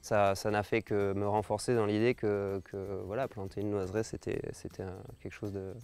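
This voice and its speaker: A man in his thirties from the French Basque Country, speaking off the cuff in an interview with a halting, repetitive way of phrasing. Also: fairly low pitch, level tone, a little mellow, clear, resonant tone. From French Basque Country, France. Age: 30s. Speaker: farm project founder. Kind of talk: documentary interview, spontaneous speech.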